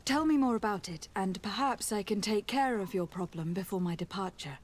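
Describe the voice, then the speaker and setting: A woman's voice: medium pitch, natural voice. Woman acting a composed, courteous young noblewoman. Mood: composed, inquiring. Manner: measured, courteous, formal. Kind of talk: video game dialogue acting.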